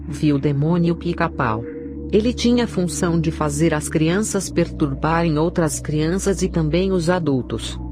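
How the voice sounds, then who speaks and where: A woman with a Brazilian accent reading a scripted narration of a religious testimony. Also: sits low. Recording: clear background music under the voice. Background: music.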